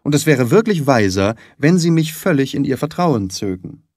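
A man playing a composed, shrewd gentleman detective in an audiobook, polite, precise and insistent. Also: medium pitch, sing-song, moderate pace, dry recording, studio quality, pitched above the performer's own voice. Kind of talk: audiobook character acting.

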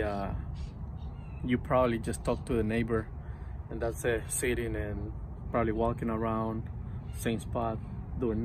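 A man's voice: mid-range voice, noisy background. A man in his thirties who runs a lawn care business, chatting casually to his phone camera while sitting on the grass in a city park, rambling with lots of fillers. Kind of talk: casual vlog talking to camera. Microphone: phone camera at arm's length.

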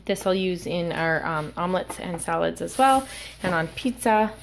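A woman's voice: fairly low pitch, expressive, normal speed. A young woman talking casually and a little hesitantly as she narrates a home vlog. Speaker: vlogger. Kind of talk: casual grocery haul narration, unscripted. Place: indoors at home. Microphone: handheld camera, held by speaker. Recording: clear background noise, handling clicks.

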